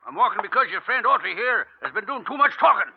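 Husky, high-pitched scheming old western uncle. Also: male voice, sing-song, fast talker, exaggerated voice. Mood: sneering, gloating. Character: scheming older uncle, sneering western villain faking lameness. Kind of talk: old-time radio western acting. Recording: dull vintage radio recording.

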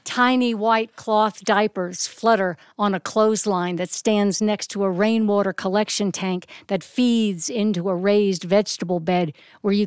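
A female storyteller delivers a polished live narrative. Her phrasing is deliberate and descriptive, with expressive emphasis and dramatic pauses. The sound is dry, with very little room echo. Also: medium pitch, clean tone.

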